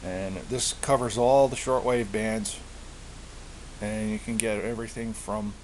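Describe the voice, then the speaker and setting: A man giving a casual show-and-tell of his gear, speaking haltingly and often repeating himself as he searches for words. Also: fairly low pitch, steady tone, rough recording. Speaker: hobbyist presenter. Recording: hissy amateur home recording.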